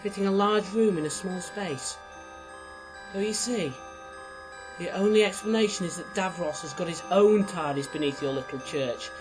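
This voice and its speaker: Man playing an earnest, high-voiced young companion. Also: normal speed, close to an everyday voice. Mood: alarmed. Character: Adric, earnest clever young male time-traveller companion. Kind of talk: sci-fi radio drama acting. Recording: music under the voice.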